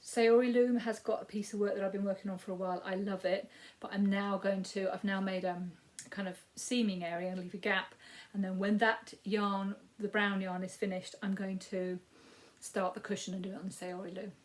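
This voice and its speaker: Woman, 50s, Yorkshire accent, croaky voice, rambling casual chat, frequent ums. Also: medium pitch, little pitch movement, a little fast, few pauses. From Yorkshire, England. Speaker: crafting podcast host. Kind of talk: casual chatty crafting update.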